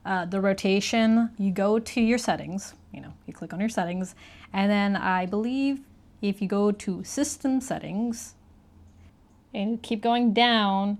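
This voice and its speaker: A woman's voice: mid-range voice, a little slow. A woman talking informally as she co-hosts and demonstrates in a casual gadget review.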